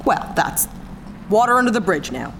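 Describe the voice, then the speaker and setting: A woman's voice: mid-range voice, big pitch swings, normal speed, gravelly, fairly bright tone, a little hiss or hum. A woman playing a no-nonsense spaceship captain in a sci-fi audio drama, dry, bossy and sarcastic. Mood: businesslike. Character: brisk, no-nonsense female spaceship captain, sardonic and exasperated.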